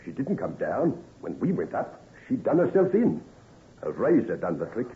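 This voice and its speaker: An actor playing a blunt, nosy working-class police officer, gossipy and plain-spoken, in an old-time radio drama. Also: male voice, on the low side, wildly swooping pitch, normal speed. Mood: grim, matter-of-fact. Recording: tinny, band-limited vintage radio recording.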